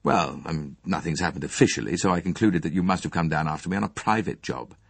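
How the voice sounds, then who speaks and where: A man's voice: deep voice, fast talker, gravelly, husky, no room sound, full character voice. A man voicing a bitter, angry young man in an audiobook, sardonic and self-pitying, with curt, casual phrasing. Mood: challenging.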